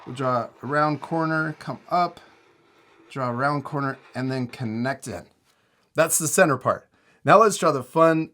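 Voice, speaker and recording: A man's voice: on the high side, fairly bright tone. A middle-aged man, guiding children step by step through a drawing lesson with simple, encouraging instructions. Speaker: host of a children's drawing tutorial.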